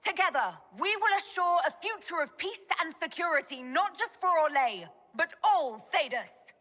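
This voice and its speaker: A woman playing a blunt, self-assured elven mage leader in a fantasy video game, speaking in a direct, measured way. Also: extremely high pitch, animated, bright, forceful tone, heavily acted. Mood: resolute. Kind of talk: dramatic game dialogue.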